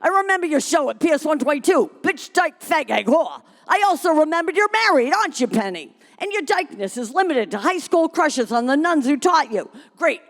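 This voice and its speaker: A brash, combative comedienne, acted out by a woman reading a story, heckles aggressively. The voice is pitched high and loud, with a rapid-fire indignant push. It rings through a reverberant hall. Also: very animated.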